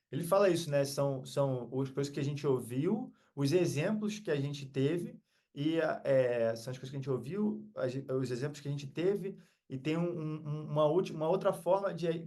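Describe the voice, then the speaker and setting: A man's voice: on the high side, darkish tone. A man with a Brazilian accent talking casually as a podcast guest, reflective, earnest and motivational in manner.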